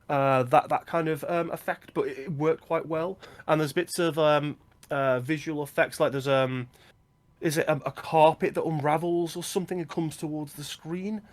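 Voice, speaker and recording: A man co-hosting a film podcast, chatting casually with quick asides and frequent laughs. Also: fairly high pitch. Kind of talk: casual chat.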